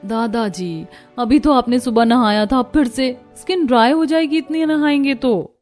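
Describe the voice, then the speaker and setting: A woman's voice: high-pitched, normal speed, a little mellow, soft background music, close to an everyday voice. A woman voicing an overworked young daughter-in-law in a cartoon story, exasperated and complaining. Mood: mild scolding. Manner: complaining, put-upon. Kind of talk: Hindi cartoon story voice acting.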